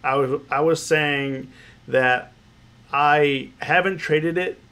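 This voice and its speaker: A man's voice: fairly high pitch, takes it slowly, sharp tone, clean tone. A man with a stuffy, nasal voice from a cold, hosting a trading livestream, chatting casually and greeting viewers by name. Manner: rambling, repeats phrases, greets viewers by name. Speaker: options trading livestream host. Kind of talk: casual livestream commentary, reading chat.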